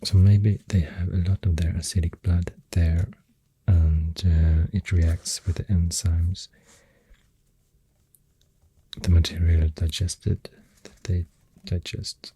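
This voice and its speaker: A soft-spoken ASMR host with a Swedish accent, rambling gently and hesitantly in a hushed, whispery, breathy voice close to the microphone. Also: deep voice, flat delivery, takes it slowly, few pauses. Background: pencil writing and clicking sounds.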